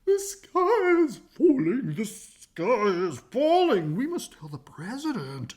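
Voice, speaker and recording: Dim, panicky hen for a picture-book read-aloud: very high, shrill, swooping, repetitive. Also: female voice, wildly swooping pitch, slow pace, darkish tone, full character voice. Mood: panicked, urgent. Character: frantic dim-witted female hen clucking in panic. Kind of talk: children's storybook read-aloud.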